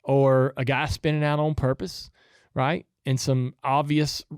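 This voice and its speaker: A man's voice: medium pitch, little pitch movement, measured pace, a little mellow, smooth, dry recording, studio quality. A man with a Southern US accent chatting casually about racing as a podcast host, in a rambling, folksy, easygoing way.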